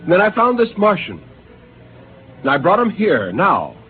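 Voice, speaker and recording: An actor playing a brooding male astronaut who turns killer, speaking quietly and intensely in a 1950s radio drama; a tinny old broadcast with faint hiss. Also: sits high, huge pitch swings, normal speed. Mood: calm.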